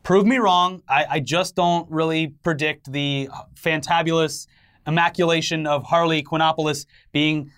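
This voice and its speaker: A man's voice: fairly high pitch, fairly even intonation, normal speed, a bit bright, clear, resonant tone. A man co-hosting an entertainment news show, mixing scripted commentary with casual banter and wry, sarcastic asides.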